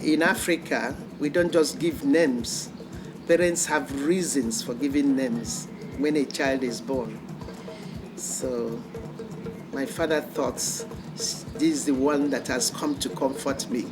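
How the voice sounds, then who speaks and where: Slow-speaking man over music. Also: mid-range voice, low-quality recording. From Nigeria. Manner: deliberate storytelling.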